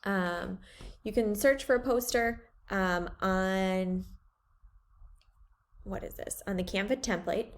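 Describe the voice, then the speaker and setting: A woman art teacher talking students through a website and a poster template, relaxed, with many ums as she thinks aloud. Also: mid-range voice, takes it slowly, pauses often. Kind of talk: screen-share class walkthrough.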